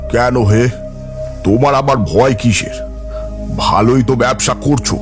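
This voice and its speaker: A man's voice: medium pitch, measured pace, music in the background, natural voice. A man playing a skeptical, dismissive villager in a cartoon, talking in a colloquial, questioning way. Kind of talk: cartoon voice acting.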